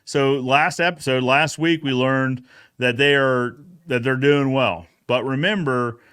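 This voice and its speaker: A man hosting a casual recap chat, retelling events in an animated way and acting out bits of dialogue. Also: medium pitch, a little slow, smooth. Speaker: show host.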